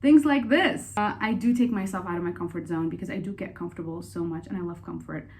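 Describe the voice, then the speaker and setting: A young woman from Saudi Arabia talking casually and candidly in a personal Q&A vlog, chatty and quick to laugh. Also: on the low side, darkish tone. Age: young adult. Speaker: vlogger.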